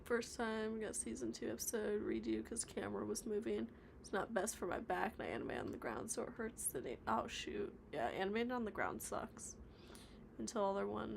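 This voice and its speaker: Rambling woman streamer, gravelly voice. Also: mid-range voice, a little hiss or hum. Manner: chatty, rambling, trails off into mumbled asides. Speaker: stop-motion animator streaming live. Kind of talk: casual livestream chat reading viewer comments. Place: home workspace, animating on the floor.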